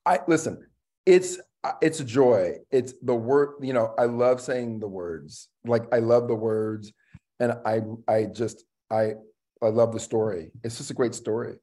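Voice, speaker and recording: A middle-aged man, an actor, talking casually as a panel guest on a video call in a reflective, halting way, often repeating words. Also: medium pitch, warm tone. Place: room at home, on a video call.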